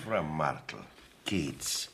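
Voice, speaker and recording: An actor playing a blustering, evasive rustic village judge in a radio play, folksy and bossy, with a Bavarian accent. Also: male voice, extremely low pitch, animated, extremely slow, fairly bright tone, a little hiss or hum, heavily acted. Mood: defiant, grumbling. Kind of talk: 1970s dialect radio play acting.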